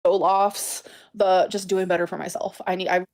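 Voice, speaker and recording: A woman's voice: lowish voice, flat delivery, normal speed, darkish tone. A woman speaking casually to her followers in a personal update, emphatic and repeating herself for stress. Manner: emphatic, repetitive. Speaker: YouTuber.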